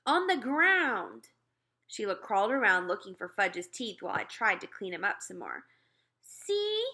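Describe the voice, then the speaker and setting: A woman narrating a children's novel as an animated read-aloud. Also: mid-range voice, fairly quick. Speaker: storybook reader.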